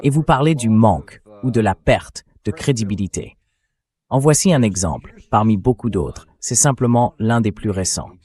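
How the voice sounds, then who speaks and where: Man, low voice, interview host, dubbed political commentary, pointed delivery. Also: expressive, moderate pace, darkish tone.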